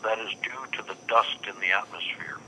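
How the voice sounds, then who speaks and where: A man's voice: on the low side, fairly quick, vocal fry, bright, forceful tone, a little hiss or hum. A man answering a UFO reporting hotline on a phone call, patient and formal, often saying "sir" and "please".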